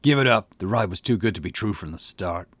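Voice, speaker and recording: A man voicing a gruff, steady police detective boss in a visual novel. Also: fairly low pitch, brisk, no echo. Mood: stern. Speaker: let's player voicing game dialogue. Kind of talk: visual novel dialogue read aloud.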